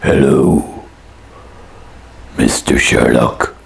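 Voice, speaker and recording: A slow, gravel-throated puppet doctor for a comic puppet show. The character is pompous and bumbling, bragging in a rasping, rock-bottom register. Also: extremely low pitch, level tone, heavily acted. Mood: self-important. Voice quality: very raspy, gravelly, husky. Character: slipper puppet Doctor Holmes, boastful, bumbling foot-doctor detective.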